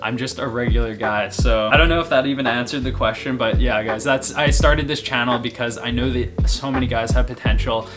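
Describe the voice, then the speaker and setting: A man's voice: medium pitch, brisk, few pauses. A man of twenty-one chatting casually in a Q&A vlog, upbeat and chummy, laughing often and calling his viewers guys. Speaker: men's fashion and fitness YouTuber. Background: music.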